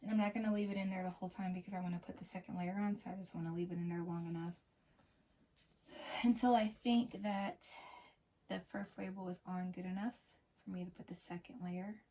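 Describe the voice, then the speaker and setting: A woman with a hoarse, strained voice from losing it talks through a product demonstration step by step, her pitch staying flat and even. She pauses often with small fillers. Faint noise sits under the recording from a phone camera in a small bedroom. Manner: plain step-by-step explaining, halting with fillers. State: hoarse from a lost voice. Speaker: product reviewer. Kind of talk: casual unboxing demonstration.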